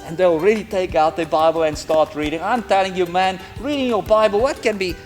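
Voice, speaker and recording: A man's voice: sits high, fairly quick. Enthusiastic South African man. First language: Afrikaans. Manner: enthusiastic, emphatic, repeats phrases. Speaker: missionary trainer. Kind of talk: role-play teaching skit. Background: music.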